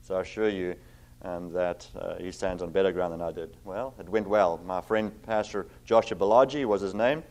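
A middle-aged man with a South African accent, giving a sermon as a guest preacher. His voice sits on the low side. He talks in an earnest way with plenty of ums, then reads scripture slowly and firmly. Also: steady tone, darkish tone. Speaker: visiting preacher.

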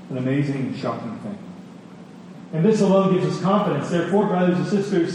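A man preaching an expository sermon, earnest and emphatic, with dramatic pauses and repetition. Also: high-pitched, mellow. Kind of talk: expository sermon with scripture reading. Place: large reverberant indoor hall. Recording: distant, echoey large hall, faint noise.

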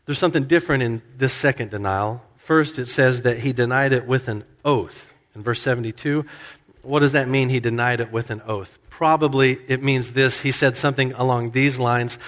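A man delivers an expository sermon, explaining each verse in turn. He acts out quoted lines in a raised, animated voice and then drops back to plain teaching. His mid-range voice carries a long reverb, as if heard in a large church. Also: mid-range voice, moderate pace. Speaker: pastor. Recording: hall reverb.

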